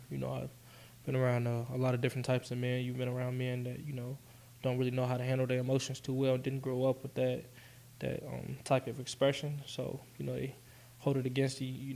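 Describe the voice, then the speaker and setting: Gravelly, monotone male tradesman. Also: medium pitch, rough recording. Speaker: electrician, panel guest. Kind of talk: panel discussion.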